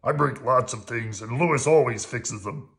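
A man's voice: mid-range voice, unhurried, gravelly, fairly bright tone, pitched above the performer's own voice. A man voicing a big, blunt, good-natured male monster puppet in a children's storybook. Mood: blunt, helpful.

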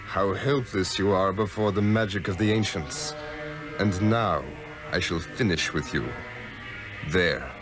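An actor playing a scheming, arrogant sorcerer's apprentice in a radio drama, with a pompous, theatrical delivery. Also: male voice, sits low, over music. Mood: gloating, menacing. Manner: pompous, theatrical, drawn-out incantations. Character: scheming, arrogant male sorcerer's pupil, villain. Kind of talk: 1960s-era radio drama acting.

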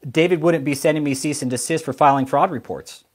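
A man in his thirties with a slightly high voice argues heatedly. He is blunt and profane, pushing with challenges and interrupting as he confronts a caller. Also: brisk. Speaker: YouTube host confronting a caller. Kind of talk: heated phone argument. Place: small room at home.

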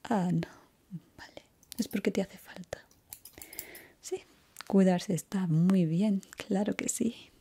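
A young woman whispering softly and breathily in a slow, soothing ASMR roleplay as a barber. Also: mid-range voice, breathy edge. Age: young adult.

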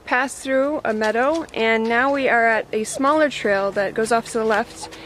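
A woman reporter narrating a hike outdoors in a relaxed, practical, chatty way, speaking into a handheld camera and now and then talking to her dog. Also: on the high side, normal speed, a little hiss or hum. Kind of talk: casual guided hike narration. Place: outdoors on a coastal trail.

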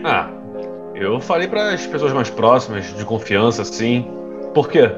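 An actor playing a reckless young rich son in an audio drama, defiant and slangy, in slightly dull sound. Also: male voice, mid-range voice, very animated, measured pace, music in the background. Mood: guarded. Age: young adult.

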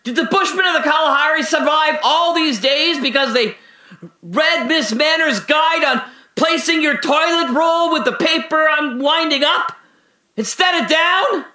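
A bitter, sardonic man held in custody rambles through a radio-drama monologue. His tone is bright and forceful, and he drifts from hushed, brooding musing into indignant outbursts. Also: very high-pitched, measured pace, exaggerated voice, low-quality recording. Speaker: voice actor.

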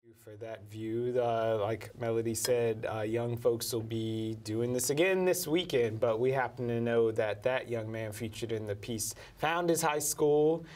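A man's voice: mid-range voice, a little slow, hardly any pauses, darkish tone. Upbeat male talk show host. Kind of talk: studio talk show hosting. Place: TV studio, indoors.

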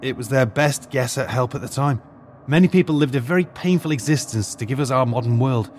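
An actor playing a middle-aged teacher, once an archaeologist, who leads his students with a steady, commanding voice. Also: mid-range voice, a little fast, a little hiss or hum, lightly acted. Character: teacher Mr. Clark, retired archaeologist, calm leader. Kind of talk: audio drama acting.

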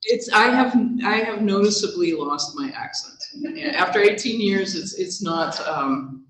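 A woman in her thirties with a bright, punchy voice gives an informal talk at a library and takes questions from the audience. She explains things chattily and laughs easily. Her voice rings around the roomy meeting hall. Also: mid-range voice, lively intonation, measured pace. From Wisconsin, USA. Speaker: guest speaker at a library lunch talk.

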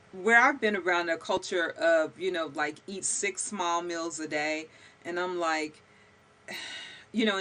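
A woman's voice: low-pitched, sharp tone. A middle-aged woman hosting an interview over a video call, talking emphatically with many fillers. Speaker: podcast host. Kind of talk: conversational interview. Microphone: video call webcam.

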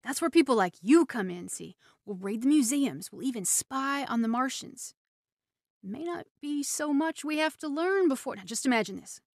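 Woman acting intense, high-voiced, power-hungry survivor soldier. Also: lively intonation, no room sound, clean studio sound. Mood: scheming, hushed. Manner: intense, halting, swinging from hushed to fervent. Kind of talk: radio drama acting.

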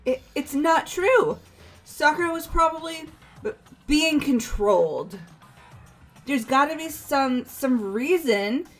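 Woman as a high-voiced, loyal emotional girl. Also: expressive, slow, soft background music. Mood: defensive, pleading. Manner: pleading, stammering. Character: loyal, emotional, protective teenage girl student. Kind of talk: fan voice-over of a visual novel game.

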